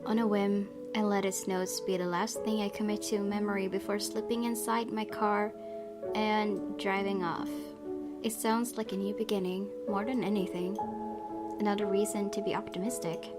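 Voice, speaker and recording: Gaming host: woman, expressive informal read of on-screen story, medium pitch, clear game music behind. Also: normal speed. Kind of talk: reading game text aloud with casual reactions.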